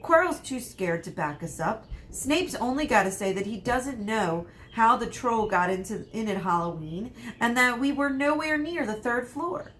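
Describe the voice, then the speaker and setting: A woman's voice: on the high side, expressive, normal speed, few pauses, a bit bright, slight background noise, voice pushed up. A woman reading aloud voices an eleven-year-old boy wizard, arguing urgently and insistently.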